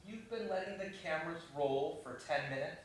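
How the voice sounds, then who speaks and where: A man's voice: a little high, expressive, fairly quick, a little hiss or hum, natural voice. An actor playing a sensible, skeptical male colleague of a film director, with a dry, questioning delivery in dramatic dialogue. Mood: incredulous, reproachful. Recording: very echoey room, distant microphone.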